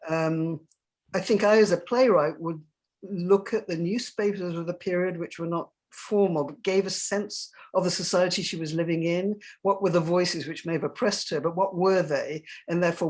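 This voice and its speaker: A woman's voice: fairly low pitch, normal speed, darkish tone. A woman playwright speaking on a panel, thoughtful and emphatic, sometimes searching for her words. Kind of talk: reflective panel discussion.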